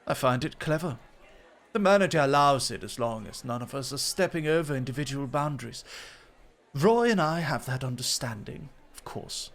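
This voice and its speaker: A man's voice: medium pitch, lively intonation, moderate pace, lightly acted. A man playing a flirty, teasing club host and rival, theatrically polite and suggestive, in an ASMR roleplay. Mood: teasing, confiding.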